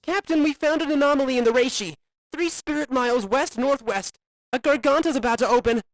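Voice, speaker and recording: A woman voicing an urgent military technician in an anime fan dub, brisk and clipped. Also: high voice, no room sound, close to an everyday voice, rough recording.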